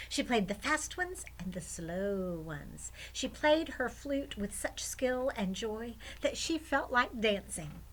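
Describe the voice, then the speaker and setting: A woman's voice: medium pitch, slight background noise, rough recording. A woman storyteller reading expressively to children, with chatty asides.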